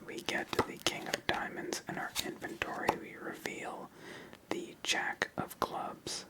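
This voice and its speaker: A person whispering gentle step-by-step ASMR commentary while playing a solitaire card game as host. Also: a little slow.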